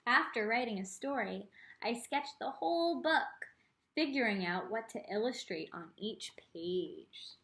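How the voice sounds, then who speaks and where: A woman reading a picture book aloud to children as an art teacher, expressive, with teaching asides spoken directly to the young listeners. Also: fairly high pitch, measured pace.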